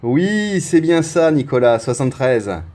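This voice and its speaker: A man's voice: medium pitch, very animated, a little slow, noisy background. A man from France, a CB radio operator, chatting casually during a radio contact, recorded in a small room. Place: small room indoors beside the radio set.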